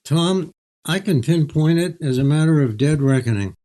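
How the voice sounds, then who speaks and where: Mellow older man, measured storyteller. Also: fairly high pitch, animated. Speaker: author, interview guest. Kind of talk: reflective storytelling, reading and interview.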